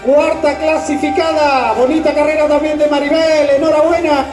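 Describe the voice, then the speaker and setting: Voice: sits high, flat delivery, normal speed. A finish-line announcer calls a live race over a PA, stretching names out emphatically and rallying applause. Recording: echoey PA at crowded event, music behind.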